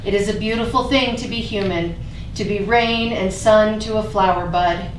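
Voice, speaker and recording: A woman's voice: medium pitch, moderate pace. A woman hosting and reading aloud at a staged reading. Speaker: host introducing and reading a poem. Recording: echoey room, distant mic, faint noise.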